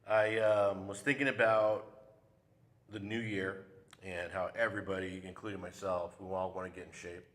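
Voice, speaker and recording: A man hosting a local interview show, talking in a relaxed, conversational way. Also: low-pitched, normal speed, in a large hall. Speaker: show host. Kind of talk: casual interview. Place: local TV studio.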